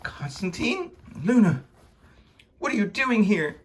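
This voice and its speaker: A man's voice: a little high, moderate pace, creaky, a bit bright, slightly rough, voice pushed up, heavily acted. A man voicing a troubled young man in a story, urgent, apologetic and pleading. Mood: shocked, hurt.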